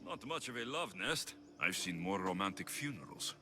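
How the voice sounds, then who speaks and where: An actor playing a rugged, wry but caring mercenary hero in a video game, with a Greek accent and a blunt, reassuring manner. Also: male voice, deep voice, big pitch swings, moderate pace, pushed and bright, heavily acted. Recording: noisy ambience behind the dialogue.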